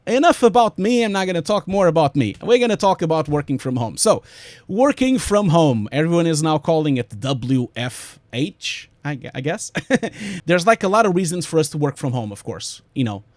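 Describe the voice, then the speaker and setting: A man with a Portuguese accent presents a webinar from his home studio, his voice a touch higher than most. He talks in a chatty, stop-start way, full of "uh" and restarts. The sound is dry, with no room echo. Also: big pitch swings, normal speed. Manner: chatty, self-interrupting, frequent fillers.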